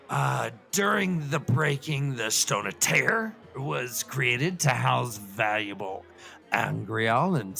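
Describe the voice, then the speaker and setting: A man acts out an excitable professor puppet in a comic show, lecturing on fantasy lore. The voice is gravelly and forceful at a middling pitch, wandering into asides and stumbling over words, with faint music behind it. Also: heavily acted. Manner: rambling, professorial, full of digressions and stammers.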